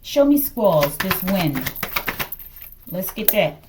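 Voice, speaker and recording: Woman with lively, swooping pitch thinking aloud. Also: background noise. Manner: halting, thinking aloud, often addressing listeners and checking with "okay". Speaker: tarot reader and channel host. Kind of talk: improvised tarot reading, speculative casual talk.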